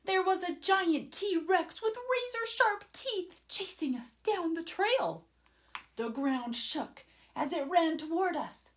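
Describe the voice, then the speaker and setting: A woman voicing an excited, adventurous young boy who eagerly and dramatically narrates a children's storybook to his listeners. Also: extremely high pitch, takes it slowly, voice pushed up, exaggerated voice. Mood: dramatic suspense. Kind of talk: children's storybook read-aloud.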